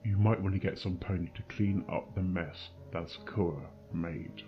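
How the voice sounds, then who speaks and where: A man voicing a stern, menacing pony doctor in a grim, deliberate, threatening way for a dramatic fan fiction reading. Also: low voice, muffled recording, music in the background. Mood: grim, firm.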